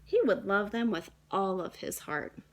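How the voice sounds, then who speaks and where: A woman, a young adult, reading a children's story aloud in a dramatic, deliberate way with shifts between emphasis and hush. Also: mid-range voice. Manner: dramatic, deliberate phrasing with hushed and emphatic moments.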